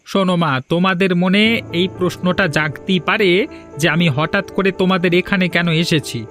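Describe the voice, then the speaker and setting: Pushy, high-pitched greedy grown son, a man acting. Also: steady tone, brisk, a bit bright. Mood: insistent. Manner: pushy, scornful. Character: greedy, arrogant educated adult son, impatient, scornful. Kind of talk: Bengali cartoon dialogue. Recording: background music under dialogue.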